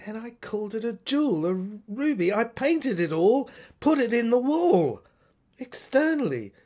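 A woman narrating a dramatic diary monologue in a halting, repetitive, theatrical way. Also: a little high, sing-song, darkish tone. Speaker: narrator of an audio screenplay. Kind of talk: dramatic first-person monologue, diary reminiscence.

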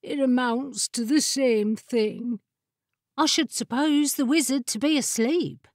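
A cheeky little fire familiar, played by a woman reader, speaks in a raised, pert voice with storybook formality. She is headstrong and inquisitive, and her words come unhurried in a dry, close recording. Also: high-pitched, darkish tone, no echo, pitched above the performer's own voice, full character voice. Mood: matter-of-fact.

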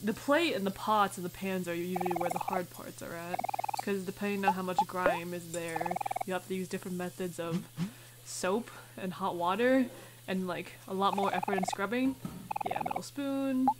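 A woman's voice: on the low side, fairly even intonation, moderate pace, heavy background noise. A woman doing casual let's play commentary, chattily thinking aloud and reading game text out as she goes. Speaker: gaming video host.

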